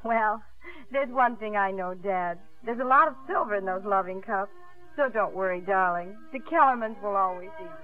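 A woman's voice: mid-range voice, moderate pace, lightly acted. A woman playing a spirited, practical, devoted young daughter in a 1950s radio drama. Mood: earnest, reassuring. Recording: muffled 1950s radio broadcast, faint music.

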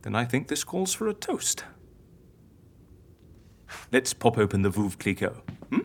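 A woman's voice: very deep voice, sing-song, a little slow, background noise, full character voice. A woman playing an eccentric female healer in an audio drama, theatrical, playful and persuasive. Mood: gleeful.

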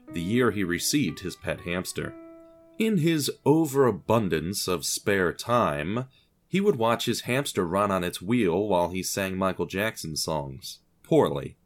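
A man reading scripted story narration with a dry, wry, understated manner. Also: fairly low pitch.

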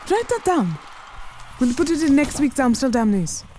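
A woman playing a proud, commanding businesswoman in 1920s Harlem, imperious and cutting, speaking with slow, deliberate weight. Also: high-pitched, big pitch swings, warm tone, slight background noise. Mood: haughty commanding. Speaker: lead character. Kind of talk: 1920s period drama acting.

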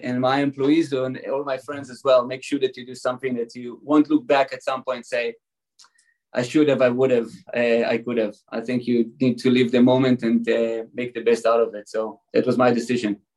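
A man's voice: mid-range voice, poor audio. Israeli-accented man, easygoing guest. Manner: relaxed, many ums and uhs. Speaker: guest, wine founder. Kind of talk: casual interview chat.

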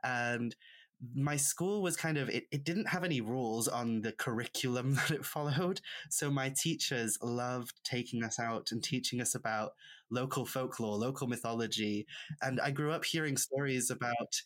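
A man's voice: medium pitch, normal speed, a bit bright. A man from rural Wales with a Welsh accent, a native Welsh speaker, chatting and telling stories as an interview guest. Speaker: podcast guest, author and witchcraft practitioner. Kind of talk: conversational interview storytelling.

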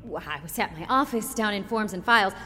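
A woman's voice: mid-range voice, lively intonation, brisk, pushed and bright, soft background music. A woman playing a meek, dutiful office clerk in an audio drama, hesitant and apologetic. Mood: dutiful, nervous. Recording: echoing hall, faint noise.

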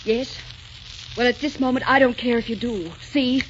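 An actress playing a proud, passionate young romantic heroine with a melodramatic, emotional delivery in 1940s radio style. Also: female voice, on the high side, fairly even intonation, moderate pace, a little hiss or hum, lightly acted. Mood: defiant. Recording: dull 1940s radio recording with music.

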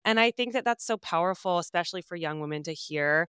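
A woman's voice: fairly low pitch, quick pace, a bit bright, smooth, dry recording, studio quality. A woman hosting a podcast interview, upbeat and affirming, chatting casually with lots of fillers. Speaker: podcast host.

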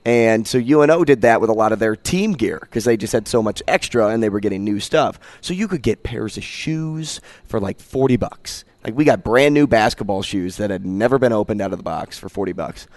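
A man with a slightly hoarse voice, co-hosting a casual sports talk show and telling a story with easy laughs. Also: lowish voice, animated, brisk, hardly any pauses, darkish tone, dry recording. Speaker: radio co-host. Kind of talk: casual chat.

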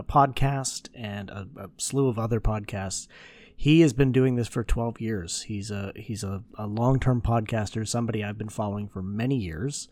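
A man's voice: fairly low pitch, a little mellow, no room sound. A man hosting a podcast, talking casually and informally as he introduces and interviews.

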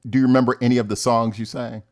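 A man's voice: mid-range voice, little pitch movement, rapid-fire. A man from Ohio hosting a radio interview, asking guests questions. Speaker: radio host.

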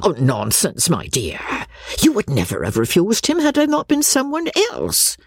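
Woman playing an imperious, gushing old lady, husky. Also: fairly low pitch, huge pitch swings, moderate pace, no room sound, pitched above the performer's own voice, heavily acted. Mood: prying, insistent. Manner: enthusiastic, insistent, gushing. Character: imperious, sentimental rich old lady, nosy and indulgent.

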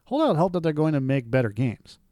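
A man's voice: on the high side, big pitch swings, a little fast, dark tone, no echo, studio quality. A man ranting as co-host of a casual movie review show, blunt, scornful, profane and emphatic. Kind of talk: casual chat, opinionated ranting.

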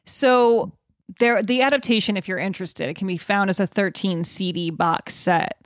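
A woman's voice: medium pitch, moderate pace, no echo, studio quality. A woman co-hosting a podcast in casual, enthusiastic, giggly chat. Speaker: podcast co-host.

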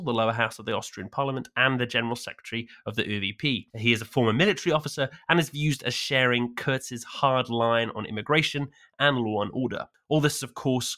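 Man, news host, scripted headlines, wry asides, bright voice, mid pitch.

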